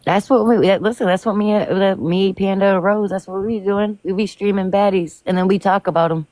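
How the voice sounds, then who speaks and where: A woman's voice: mid-range voice, steady tone, brisk, darkish tone, no room sound. Blunt woman in her thirties, dry sound. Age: 30s. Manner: blunt, talks over others, laughs often. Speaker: live stream co-host. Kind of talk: casual chat.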